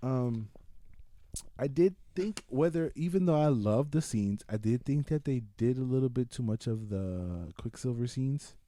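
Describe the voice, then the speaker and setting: Man, podcast host, lively swooping pitch, mellow tone, dry close sound, sweary slangy casual chat. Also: a little slow, no echo. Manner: profane, excitable, slangy. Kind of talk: casual movie review chat.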